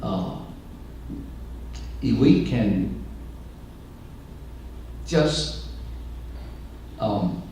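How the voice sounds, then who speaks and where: An older man, a pastor whose first language is Chinese, speaking to an audience in a large room, pausing often to search for words. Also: lowish voice, animated, dark tone, heavy reverb, distant mic, a little hiss or hum, poor audio. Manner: halting, searches for words with many fillers. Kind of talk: speech to an audience.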